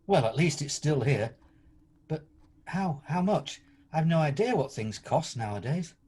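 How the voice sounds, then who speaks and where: A man acts out an old miser turned generous, in a husky, fairly high voice that swings about. He is eager and exclamatory, flustered with excitement, in the manner of a homemade radio drama. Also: unhurried. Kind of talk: amateur radio play acting.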